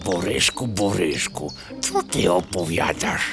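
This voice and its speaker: A man's voice: sits low, lively intonation, slow, vocal fry, music in the background, exaggerated voice. A man voicing a dim, cheerful young fox in a children's bedtime story, drawling hesitantly with thoughtful hums. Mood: proud, trusting. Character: dim, slow-thinking, cheerful young male fox cousin.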